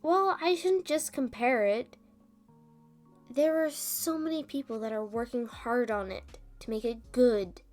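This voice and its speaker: A woman playing a rambling, self-deprecating roommate in a casual ASMR roleplay, talking in a halting, defensive, conversational way. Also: on the high side, a little mellow, faint music behind, close to an everyday voice. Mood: earnest venting. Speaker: audio roleplay performer and script writer.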